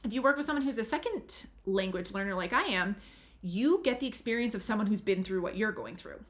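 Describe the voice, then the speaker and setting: A woman's voice: mid-range voice, lively intonation, a little fast. Chatty earnest American woman tutor. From United States. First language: English. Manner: chatty and earnest, leans on "really" and "super". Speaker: language tutor. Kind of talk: casual advice talk to camera.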